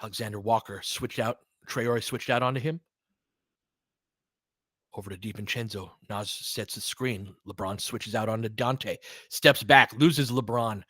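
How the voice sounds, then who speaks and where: A man's voice: lowish voice, unhurried, fairly bright tone, no echo. A man giving casual, conversational basketball play-by-play and analysis, with short punchy calls and slangy language.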